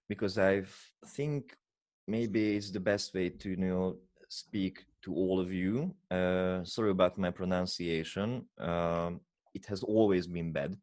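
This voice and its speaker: Hungarian guy, lowish voice, halting english, hunts for words, swears a bit. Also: a little mellow. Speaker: poetry slammer. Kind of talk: casual chat and poetry performance.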